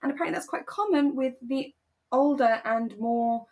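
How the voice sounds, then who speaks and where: A woman co-hosting a podcast in relaxed, reflective, conversational chat. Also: sits high, normal speed. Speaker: podcast co-host. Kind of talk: relaxed casual chat about a radio drama.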